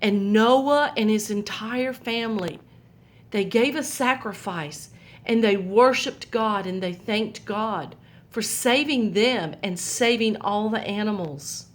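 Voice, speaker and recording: Slow gentle woman reading to kids. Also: mid-range voice. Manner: slow, gentle, pointing out pictures to young listeners. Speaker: children's storyteller. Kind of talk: reading a Bible story to children.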